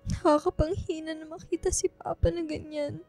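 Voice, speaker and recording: High, creaky, plaintive Filipino daughter. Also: female voice, level tone, moderate pace, darkish tone, soft background music. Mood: sorrowful. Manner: plaintive, subdued, tearful delivery. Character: grieving, discouraged young student daughter, respectful to her mother. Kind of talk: Filipino radio drama acting.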